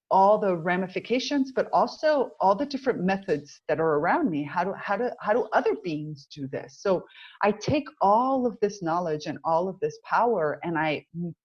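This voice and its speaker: A middle-aged Cuban American woman with a Cuban American accent, speaking as an interview guest in a measured, reflective way about spiritual ideas. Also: lowish voice, darkish tone, muffled recording. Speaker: mentor, guest. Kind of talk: interview conversation.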